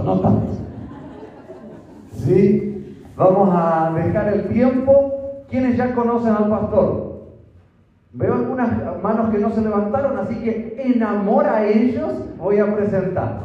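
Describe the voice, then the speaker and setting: An Argentine man with a fairly high voice speaks to a congregation in a friendly, coaxing way. He is heard from far off, and his voice bounces around a big echoing hall with a muffled sound. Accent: Argentine Spanish. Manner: friendly, coaxing the audience with tag questions. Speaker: local church leader introducing the speaker. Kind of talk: congregational address. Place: church hall.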